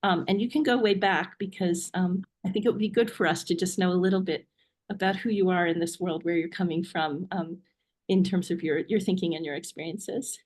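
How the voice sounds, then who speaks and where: Woman, webinar host, low pitch, hesitant with ums, video call mic. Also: fairly even intonation, fairly quick, a little mellow. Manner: hesitant, many ums while reading a bio. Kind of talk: introducing a guest on a webinar.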